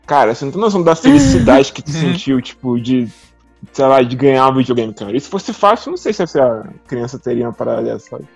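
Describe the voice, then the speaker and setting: A man's voice: mid-range voice, big pitch swings, fast, vocal fry, darkish tone, breathy edge. A man with a Brazilian accent chatting casually, in a colloquial and enthusiastic way. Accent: Brazilian Portuguese. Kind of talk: casual chat, reminiscing. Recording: slightly dull audio, music behind.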